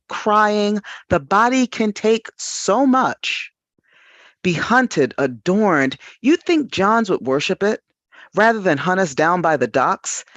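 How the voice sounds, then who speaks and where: A woman reads poetry with big swings in pitch and a slow, deliberate pace. The sound is dry and muffled. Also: dry recording. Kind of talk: dramatic poetry reading. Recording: dull lo-fi dry audio.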